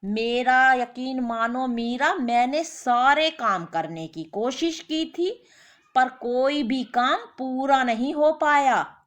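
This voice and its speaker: Woman voicing a whiny lazy husband, high-pitched. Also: slow pace. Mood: defensive. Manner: indignant, self-justifying. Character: lazy, whiny adult husband making excuses. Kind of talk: animated moral story character.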